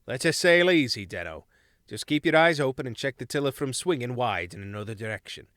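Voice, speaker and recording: A man's voice: mid-range voice, expressive, brisk, fairly bright tone, no room sound, clean studio sound, close to an everyday voice. A man doing a hearty mouse captain. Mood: easygoing. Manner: hearty, friendly, wry. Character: good-humoured mouse ship captain.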